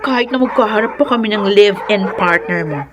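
An actress with a Filipino accent playing a flirtatious, haughty mistress in a radio drama. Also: female voice, medium pitch, fairly quick, noisy background, lightly acted. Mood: brazen, needling.

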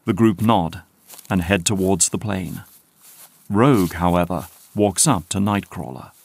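A man's voice: low-pitched, unhurried, vocal fry, husky. A man narrating an action audio drama from a script.

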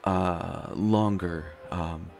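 A man's voice: deep voice, fairly even intonation, extremely slow, gravelly, darkish tone, full character voice. A man playing an anxious, stammering young prince who is reluctant to become king, in a roleplay audio drama. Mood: flustered politeness. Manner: flustered, hesitant, stammering, sighing. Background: faint music.

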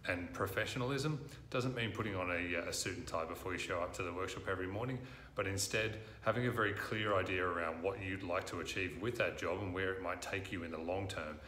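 An Australian man in his thirties, speaking earnestly to camera as a recruitment presenter, often pausing with "uh". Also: low-pitched, bright, forceful tone, slight background noise. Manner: earnest, frequent "uh" fillers. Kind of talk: direct-to-camera talk. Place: office room, indoors. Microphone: lapel mic. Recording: roomy office echo.

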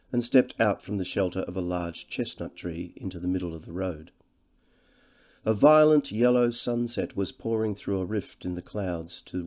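Guy narrating a novel, dark soft-edged tone, measured storyteller style. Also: on the low side. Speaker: audiobook narrator. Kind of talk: scripted literary narration.

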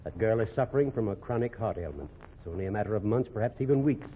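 A grave ship's doctor played by a male actor in a forties radio drama. His voice is low, measured and authoritative. It comes through a tinny, noisy recording with long reverb. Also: expressive, lightly acted. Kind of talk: 1940s radio drama acting.

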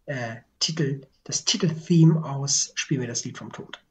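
A man co-hosting a casual podcast, speaking haltingly and stumbling over his words. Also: medium pitch. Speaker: podcast co-host. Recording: noticeable background noise.

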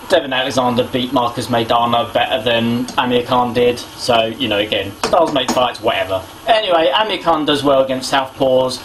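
British young man, noisy homemade recording. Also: mid-range voice. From Britain. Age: young adult. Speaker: boxing vlogger. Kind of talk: casual opinion commentary on sport. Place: small domestic kitchen indoors. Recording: clear background noise, homemade camera audio.

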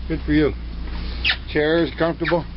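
A man behind the camera, asking friendly, upbeat quick questions in casual on-the-spot interviews. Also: a little high, level tone. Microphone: handheld camcorder mic. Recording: muffled camcorder with loud noise.

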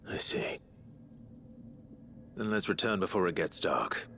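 A brooding, guarded warrior speaks in a video game drama. His voice is extremely deep, terse and hushed, with faint noise behind it. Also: expressive, measured pace, gravelly, full character voice.

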